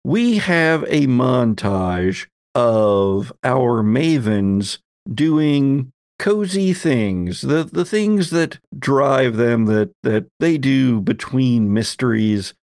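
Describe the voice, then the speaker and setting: A man's voice: mid-range voice, lively intonation, drawn-out words, few pauses, darkish tone, no echo, clean studio sound. A man hosting as game master, narrating a tabletop roleplay story in a theatrical, folksy storyteller's way. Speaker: podcast host and game master.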